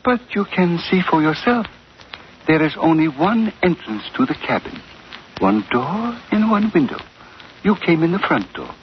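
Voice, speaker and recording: Imperious, high-pitched radio villain. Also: male voice, very animated, normal speed. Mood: smoothly defiant. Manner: formal, precise, imperious. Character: haughty, commanding villain in a remote cabin, coolly polite. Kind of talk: 1940s radio adventure acting. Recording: dull, faintly noisy vintage radio recording.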